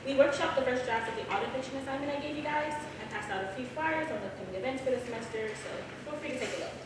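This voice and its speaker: A frank academic mentor, played by a woman in a staged reading. She talks quickly, and the room rings heavily around her from a distant, noisy mic. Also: high voice, close to an everyday voice. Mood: matter-of-fact. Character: frank young woman English teacher and PhD candidate. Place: small theater, live stage reading. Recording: echoey theater, distant mic, clear noise.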